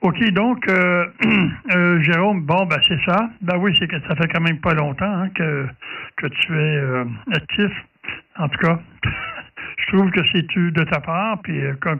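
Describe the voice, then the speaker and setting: A man's voice: on the high side, gravelly. A man with a Quebec French accent making casual ham radio conversation, hesitating and repeating his phrases. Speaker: amateur radio operator. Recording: distant, tinny narrow-band radio sound.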